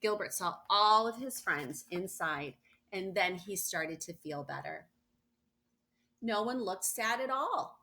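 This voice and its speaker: A woman's voice: mid-range voice, lively intonation, slow pace, pushed and bright. A woman reading a children's picture book aloud expressively, stressing words for young listeners. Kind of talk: expressive read-aloud storytelling with asides.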